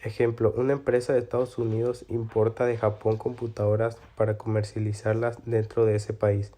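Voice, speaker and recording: A man's voice: fairly low pitch, level tone, fairly quick, mellow. A man presenting a scripted explanation in an instructional reading style.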